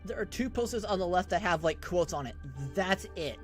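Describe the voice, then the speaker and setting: A man's voice: sits high, animated, brisk, faint music behind. A man giving casual, joking commentary while playing a video game. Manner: offhand, joking asides. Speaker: gameplay streamer.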